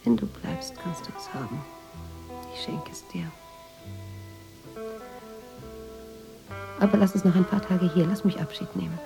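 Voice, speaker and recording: An actress in a German radio drama playing a wistful, introspective woman writer with a measured, restrained delivery. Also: lowish voice, vocal fry, over music, low-quality recording. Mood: resigned, hurt. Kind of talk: German radio drama, intimate narration and dialogue.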